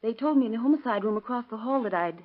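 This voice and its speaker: A woman's voice: fairly high pitch, lively intonation, racing. An actress playing a worried, hesitant woman in a 1930s radio drama, on a muffled old recording. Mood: hesitant, anxious. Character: worried, hesitant woman searching for her missing sister.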